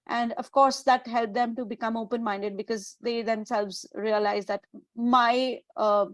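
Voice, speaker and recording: Woman teacher from India, fairly high voice, halting delivery, dry sound. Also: fairly even intonation, a bit bright, no room sound. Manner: hesitant, many fillers. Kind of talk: webinar discussion.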